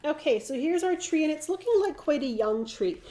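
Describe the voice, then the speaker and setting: A woman's voice: sits high, fairly quick. A middle-aged woman with a slightly froggy, stuffed-up voice from hay fever, thinking aloud as she demonstrates watercolor painting. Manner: relaxed, thinking aloud while painting, frequent restarts. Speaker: watercolor instructor.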